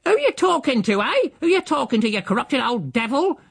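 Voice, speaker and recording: A man voicing a scraggy, defiant, shrewish woman housekeeper in a story, shrill and scolding, with a rural English accent. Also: big pitch swings, takes it slowly, creaky, sharp tone, heavily acted. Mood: outraged, scolding.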